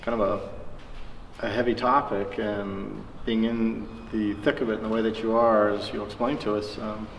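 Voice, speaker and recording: A man interviewing conversationally, with halting, roundabout phrasing as he works toward his questions. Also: lowish voice, level tone, vocal fry. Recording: echoey reverberant room, distant mic, faint noise.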